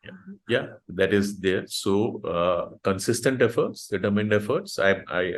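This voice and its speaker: A man's voice: on the low side, measured pace. A man with an Indian accent hosting an online chat, talking casually and hesitantly with many fillers and restarts. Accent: Indian English. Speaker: host of an online support group chat. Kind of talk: casual conversational interview.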